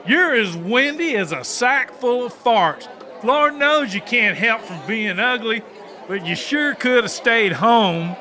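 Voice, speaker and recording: High-pitched brash country roughneck. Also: male voice, lively intonation, takes it slowly, bright, forceful tone, a faint crowd, a little hiss or hum, heavily acted. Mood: indignant. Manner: folksy drawling insults and colorful country sayings. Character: brash, crude, cackling country cowboy killer, blind. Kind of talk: audiobook character acting.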